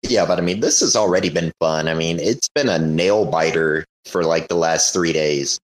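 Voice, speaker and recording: Raspy-voiced man with a head cold. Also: low-pitched, moderate pace, rough recording. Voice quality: rough, raspy voice. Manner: playful, breaks into pirate growls. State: sick with a cold, sore throat, stuffed up. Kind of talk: casual chat.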